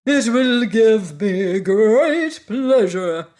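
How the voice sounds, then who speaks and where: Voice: medium pitch, animated, drawn-out words, darkish tone, voice pushed up. A reader voicing a pompous, learned male insect who lectures in grand, formal phrases. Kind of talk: audiobook character voice.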